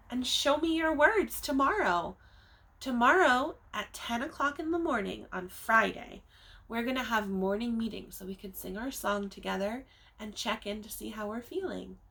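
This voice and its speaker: A woman teacher reading a picture book aloud to young children, expressively, with dramatic emphasis and drawn-out words, speaking to them directly. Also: on the high side, a bit bright. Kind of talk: animated children's storybook read-aloud.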